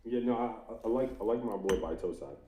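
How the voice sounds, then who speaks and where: A man's voice: on the low side, quick pace, dark tone. A man hosting a podcast in casual, slangy chat, often saying bro. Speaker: podcast host. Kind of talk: casual chat about anime. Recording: roomy echo with background noise.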